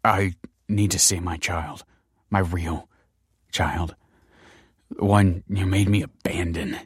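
A man's voice: on the low side, normal speed, breathy edge, dry recording. Casting: male reader as a shocked young husband in a dramatic story, accusing and incredulous, close dry sound. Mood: angry, choked. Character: shocked, angry young husband confronting his wife.

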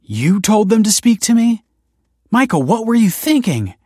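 A man's voice: on the low side, moderate pace, breathy edge, no echo, studio quality. A man voicing a firm, tense married woman in an audiobook, insistent and emphatic. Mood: exasperated. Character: firm, tense wife, a fertility director.

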